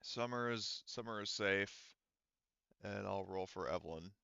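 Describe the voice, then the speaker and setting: A man with a lowish, forceful and clear voice runs a tabletop game. He explains the scene casually, hesitating as he searches for words, on a close but slightly dull recording. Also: unhurried, dry recording. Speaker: game master.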